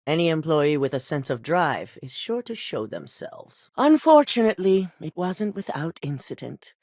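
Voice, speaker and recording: A woman's voice: deep voice, very animated, moderate pace, a little mellow, no echo, clean studio sound, exaggerated voice. An actress voicing a cold, exacting female corporate executive in a video game, curt and authoritative. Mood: stern.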